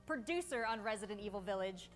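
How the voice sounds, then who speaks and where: A woman's voice: medium pitch, animated, fast, music in the background. A woman hosting a game showcase, presenting from a script in an upbeat, polished announcer style. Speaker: showcase host.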